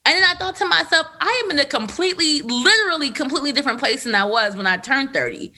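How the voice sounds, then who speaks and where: A woman in her thirties talks candidly on her podcast, animated and full of fillers. Her voice sits a little high and bright. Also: quick pace. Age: 30s. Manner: animated, filler-heavy, laughs often. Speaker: podcast host. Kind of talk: candid conversational storytelling. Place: Los Angeles area.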